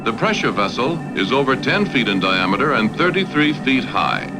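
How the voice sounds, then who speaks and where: Male narrator, formal industrial documentary, varied pitch, prominent background music. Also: big pitch swings, normal speed. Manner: formal, expository. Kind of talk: scripted industrial film narration. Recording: archival film with loud music. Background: loud music.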